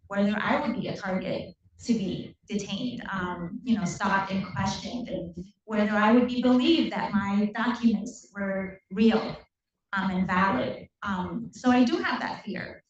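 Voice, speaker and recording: A woman from Puerto Rico whose first language is Spanish. She is a council member making an earnest, personal statement in a council meeting, with frequent ums. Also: on the high side. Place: council chamber. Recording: echoey chamber, distant dull mic.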